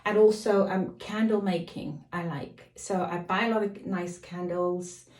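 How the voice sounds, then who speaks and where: A middle-aged woman with a slightly croaky voice, casually chatting to camera as a lifestyle vlogger, rambling off on tangents. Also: fairly low pitch, steady tone, unhurried, a little mellow. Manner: rambling, digresses and apologizes. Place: home. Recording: echoey room.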